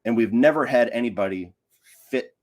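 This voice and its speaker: A man's voice: mid-range voice, normal speed, darkish tone. A man speaking as a podcast guest, giving casual opinions and building his points in repetitive, structured lists. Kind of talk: casual opinionated film discussion.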